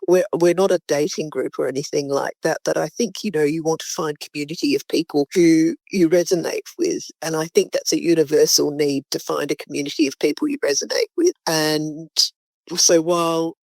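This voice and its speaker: Aussie community founder chatting in an interview, creaky voice, bit hesitant, close dry sound. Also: medium pitch, normal speed, a little mellow, no echo. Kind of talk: casual interview talk.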